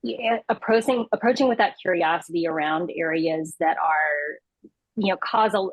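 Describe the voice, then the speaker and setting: A woman's voice: fairly low pitch, moderate pace, dry recording, rough recording. A woman in her thirties, a guest in an interview, talking with frequent fillers and restarts. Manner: hesitant restarts, many fillers. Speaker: guest, customer insights lead. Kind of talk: interview conversation.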